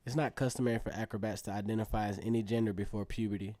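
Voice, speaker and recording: A man's voice: on the low side, fairly even intonation, racing, dark tone, a little hiss or hum, lightly acted. An actor playing an earnest teenage acrobat who narrates his story in a reflective, conversational way in a radio drama. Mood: matter-of-fact. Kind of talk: first-person narration in an Afrofuturist radio drama.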